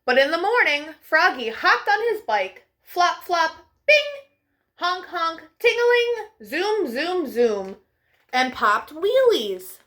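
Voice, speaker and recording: A woman's voice: very high voice, very animated, slow pace, fairly bright tone. A woman hosting a children's storytime, reading picture books aloud expressively, with playful sound effects. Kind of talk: animated picture-book read-aloud for young children.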